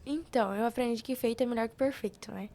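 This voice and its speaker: A woman's voice: a little high, quick pace, dark tone, slight background noise. A young woman with a Brazilian Portuguese accent, speaking casually and emphatically as a podcast guest, with slangy repetition. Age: young adult. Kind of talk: casual, chatty interview answers.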